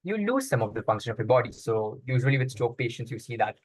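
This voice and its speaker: A young man raised in Congo talks through his answers with a steady, mid-range voice. He explains things patiently, often pausing on fillers and restarting his sentences. The sound is close and dry, with no room echo. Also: mid-range voice. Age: young adult. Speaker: guest. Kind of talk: casual interview answers.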